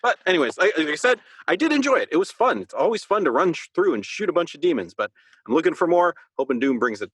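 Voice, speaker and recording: A man's voice: a little high, sing-song, fast, fairly bright tone, husky, dry recording. A man co-hosting a podcast, chatting casually about a video game and rephrasing as he thinks aloud. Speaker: podcast co-host.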